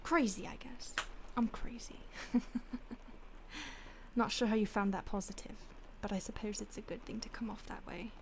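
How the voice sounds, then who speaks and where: A woman playing an ancient, detached vampire stalker in an intimate audio roleplay, with a breathy voice that often drops to a whisper and a languid, teasing manner. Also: mid-range voice, fairly even intonation, normal speed, background noise, lightly acted. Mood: musing, detached. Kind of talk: intimate audio roleplay, ASMR-like acting addressed to the listener.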